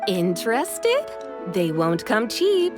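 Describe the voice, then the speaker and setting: A woman's voice: on the high side, wildly swooping pitch, takes it slowly, over music, full character voice. A woman playing a wry, good-natured market merchant in a video game, teasing and friendly. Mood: sincere, friendly. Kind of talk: video game character acting.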